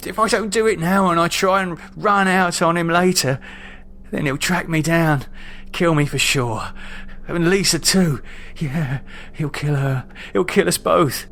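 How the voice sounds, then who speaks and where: A man's voice: fairly high pitch, unhurried, fairly bright tone, pitched above the performer's own voice. A man reading an audiobook, voicing a frightened vampire hunter who urgently argues with himself. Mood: fearful, desperate. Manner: urgent, agitated muttering to himself.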